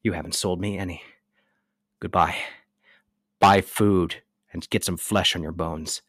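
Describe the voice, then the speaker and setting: Man acting a low-voiced brooding young tragic lover. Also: fairly even intonation, a bit bright, no echo, clean studio sound, exaggerated voice. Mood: somber. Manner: theatrical, deliberate declaiming. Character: anguished young nobleman lover, brooding and resolute. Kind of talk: dramatic reading of a modernised stage tragedy.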